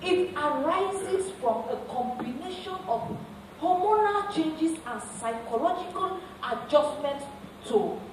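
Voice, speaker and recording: A woman with a Nigerian accent playing an earnest explainer in stage drama dialogue, projecting deliberately. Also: high-pitched, lively intonation. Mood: earnest, explanatory. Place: theatre stage. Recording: echoey stage hall, distant mic, noisy.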